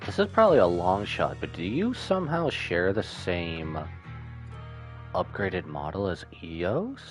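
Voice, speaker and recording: A man's voice: sits low, sing-song, unhurried. A man giving casual live reactions to a game as a streamer, sympathetic and emotionally reactive. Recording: faint game music behind.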